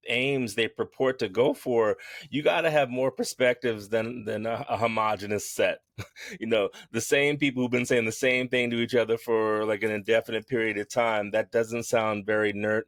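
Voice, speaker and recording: A man speaking as a podcast guest and yoga teacher, frank and lively, laughing often as he talks. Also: lowish voice, no echo. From United States. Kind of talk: candid conversational interview.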